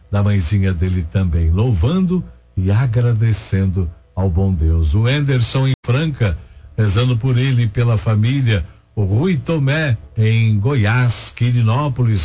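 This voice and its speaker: Brazilian male radio host, lowish voice, rhythmic reading of intentions, dull broadcast sound. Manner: steady, rhythmic listing of names and places. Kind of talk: reading listener intentions and leading prayers.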